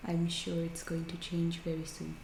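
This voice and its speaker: A woman playing a calm, curious alien researcher in an ASMR roleplay, speaking softly in halting, deliberate phrases, often whispering. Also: fairly low pitch. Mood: calm, inquisitive. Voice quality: soft, breathy, often whispered. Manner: slow, deliberate, halting, clinical and detached. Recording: roomy echo, faint background noise.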